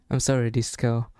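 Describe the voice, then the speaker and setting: A man's voice: medium pitch, darkish tone, clear, resonant tone, no echo, clean studio sound. A Malaysian man, a Malay native speaker, casually commenting while streaming a game, thinking aloud and humming to himself. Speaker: gameplay streamer. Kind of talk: casual live gameplay commentary.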